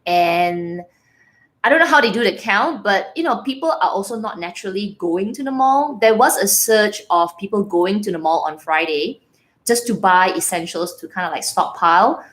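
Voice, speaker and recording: A woman's voice: medium pitch, lively intonation, moderate pace, fairly bright tone. A young woman from Malaysia with a Malaysian English accent and bits of Singlish, chatting casually on a livestream, laughing often and reading out viewer comments. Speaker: livestream host.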